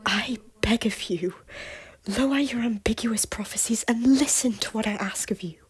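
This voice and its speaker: A woman voicing a guilt-ridden former queen who pleads in breathy, often whispered lines. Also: fairly high pitch, normal speed, no echo. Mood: pleading. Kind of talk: fan audio drama acting.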